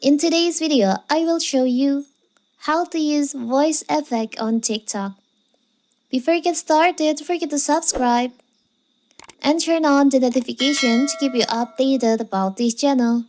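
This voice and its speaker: A woman's voice: high-pitched, measured pace, a little mellow. A woman hosting a how-to video, narrating step by step in a scripted tutorial style. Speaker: tutorial channel host.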